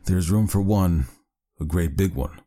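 A man voicing a weary, miserable expectant father in a story reading, with bitter, quiet sarcasm. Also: sits low, measured pace, heavy background noise. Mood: grim. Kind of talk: dramatised short story reading.

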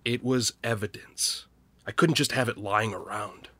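An actor playing a weary, guilt-ridden small-town loner in a naturalistic audio drama, husky and hesitant, confessing haltingly. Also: male voice, fairly low pitch, pushed and bright, dry recording, full character voice. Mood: reluctant confessing.

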